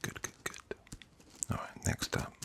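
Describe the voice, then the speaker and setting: A British man doing a soft-spoken ASMR doctor roleplay, breathy and mostly whispered, with a gentle, reassuring, unhurried manner. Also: noisy background. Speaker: ASMR performer playing a doctor.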